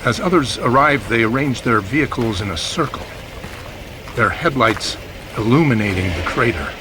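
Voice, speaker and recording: An earnest, easily flustered radio newsman played by an actor reporting live from the field. He is formal and descriptive in an old-time drama style, with a mid-pitched voice over clear outdoor noise. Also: lively intonation, lightly acted. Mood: formal, composed. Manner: formal, descriptive, haltingly awed.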